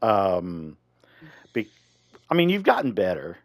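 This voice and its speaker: A man's voice: sits low, huge pitch swings, slow, no echo, studio quality. A man co-hosting a podcast, chatting casually and informally with a friend, with some swearing. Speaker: podcast co-host. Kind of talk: casual rambling chat with a friend.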